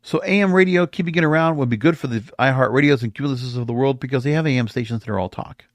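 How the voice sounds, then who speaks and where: A man's voice: medium pitch, fast, no echo, clean studio sound. A man with an American accent delivering opinionated podcast commentary as host, emphatic and stretching words for stress. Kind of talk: opinionated solo commentary on the music industry.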